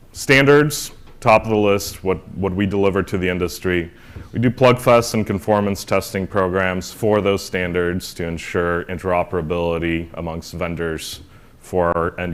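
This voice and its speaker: A man's voice: low voice, smooth. A man, the executive director of an industry group, opening a conference with an upbeat, emphatic welcome speech on a hall stage into a podium mic. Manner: upbeat, emphatic opener, pushing his voice to carry. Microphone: handheld or podium mic.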